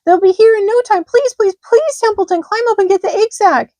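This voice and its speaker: A woman voicing an excitable young male pig who pleads loudly and desperately. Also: normal speed, dry recording, lightly acted. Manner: high, loud, desperate pleading.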